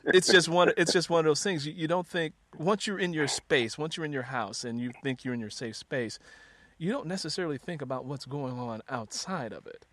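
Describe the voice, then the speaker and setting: A man's voice: fairly high pitch, brisk, a bit bright, dry recording. A man hosting a casual interview, talking conversationally and expressively with little acted asides. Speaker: host, interviewer.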